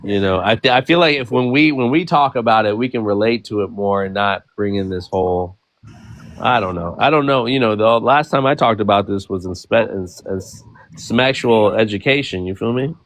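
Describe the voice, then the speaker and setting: A man's voice: lowish voice, normal speed, a bit bright, no echo. A man in his thirties co-hosting a casual talk show, speaking frankly and loosely, with lots of profanity and "you feel me". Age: 30s. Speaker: podcast co-host. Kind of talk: casual chat.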